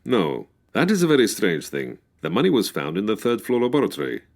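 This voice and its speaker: An officious police official from a forties-style radio mystery. His voice is very deep yet moves through wide swings of pitch, formal and precise in every phrase. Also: huge pitch swings, brisk, darkish tone. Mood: measured, matter-of-fact. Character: formal, exasperated male Cairo police official. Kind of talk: 1940s detective radio drama pastiche.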